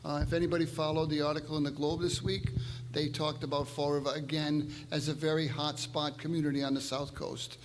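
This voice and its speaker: Brief: older male mayor, higher voice, flat even delivery, hall reverb. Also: fairly quick, background noise. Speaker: incumbent mayor. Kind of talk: candidate forum speech. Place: church hall forum.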